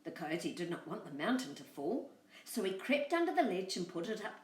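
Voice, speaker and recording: A woman's voice: fairly low pitch, sing-song, a little fast, echoey room, far from the mic. A woman, hosting a story time and reading a storybook expressively to children.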